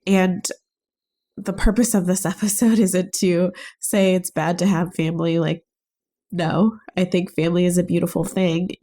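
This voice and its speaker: Young woman, podcast host with a stuffed-up head cold, mellow voice, earnest and gentle. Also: fairly low pitch, flat delivery, normal speed. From United States. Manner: earnest, gentle, thinking aloud. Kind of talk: reflective solo podcast talk.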